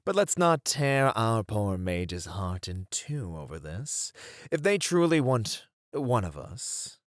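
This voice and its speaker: Flirty incubus demon, played by a man: smug charmer, unhurried, lively swings in pitch, close dry sound. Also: dry recording. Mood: amused, smug. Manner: teasing, drawn-out, playful delivery. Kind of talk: flirty ASMR roleplay acting.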